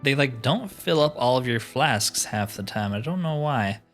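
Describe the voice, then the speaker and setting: A man's voice: mid-range voice, animated, normal speed, fairly bright tone, soft background music. A man giving casual commentary as he plays a roleplaying video game. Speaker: gaming video host. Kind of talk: casual roleplay gameplay commentary, reading dialogue aloud.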